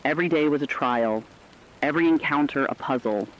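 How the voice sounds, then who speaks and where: A man reading scripted dramatic narration in a serious, deliberate storytelling manner. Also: a little high, a bit bright, highs cut off.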